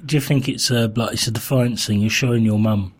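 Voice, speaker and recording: A man's voice: mid-range voice, steady tone, brisk. A man co-hosting a podcast, chatting casually with a dry, teasing wit. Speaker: podcast co-host.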